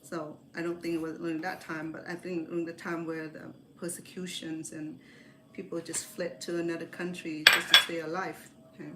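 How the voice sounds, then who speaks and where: A woman's voice: fairly low pitch, little pitch movement, normal speed, bright, forceful tone. A woman from Cambodia chats casually as she cooks, rambling and correcting herself as she goes. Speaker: home cook hosting a livestream. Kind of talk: casual chat while cooking. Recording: echoey kitchen, clear background noise.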